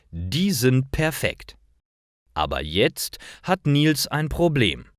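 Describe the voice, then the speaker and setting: A man reading scripted short stories for language learners in a deliberate, carefully articulated and expressive way. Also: medium pitch, dry recording, clean studio sound. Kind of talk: scripted narration, slow storytelling for language learners.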